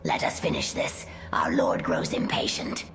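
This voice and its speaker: A woman playing a cold undead servant of a dark lord in a game, with a whispery, gravelly voice. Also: unhurried, soft background music, lightly acted. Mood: cold, sinister.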